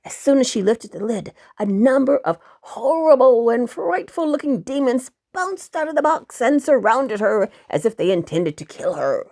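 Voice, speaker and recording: A woman narrating a fairy tale for children expressively, with dramatic lingering emphasis and hushed dips. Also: fairly high pitch, big pitch swings, moderate pace, hardly any pauses. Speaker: children's storyteller.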